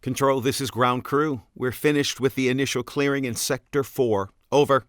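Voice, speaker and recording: A man's voice: mid-range voice, steady tone, moderate pace, a bit bright, natural voice. A man playing a commanding thirtyish park crew leader in an audio drama, clipped and authoritative. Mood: businesslike.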